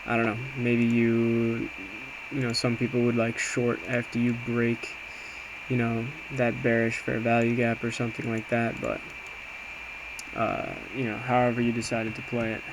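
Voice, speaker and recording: Man, mid-pitched, little intonation, conversational, hesitant, self-reflective, casual screen-share commentary. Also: moderate pace. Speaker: amateur day trader recapping trades. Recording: clear steady background noise.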